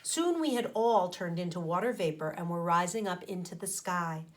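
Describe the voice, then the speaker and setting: A woman teacher reading a children's story aloud expressively, pausing to explain things to her young listeners. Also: lowish voice. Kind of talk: animated storybook read-aloud with asides to children.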